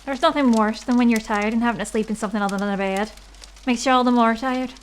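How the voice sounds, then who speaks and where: A woman's voice: fairly high pitch, fairly even intonation, quick pace, slight background noise, close to an everyday voice. A woman playing a nosy, superstitious village gossip with an Irish accent and a chatty, folksy way of talking in an audio drama. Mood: eager, curious.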